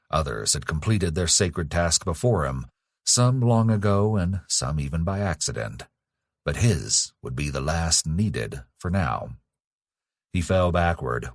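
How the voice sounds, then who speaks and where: Guy with a deep raspy voice reading a grim fantasy horror story, slow-burn dramatic and serious. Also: no echo, clean studio sound. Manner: dramatic, solemn, measured storytelling. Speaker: author narrating own audiobook. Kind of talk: scripted dark fantasy horror narration.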